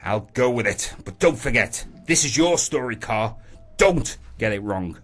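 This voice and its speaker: A man playing an authoritative, worried newspaper boss in a radio play, speaking in a commanding, deliberate way. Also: mid-range voice, animated, fairly bright tone, soft background music. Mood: grave, resolute. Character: authoritative, worried male newspaper boss addressing his staff.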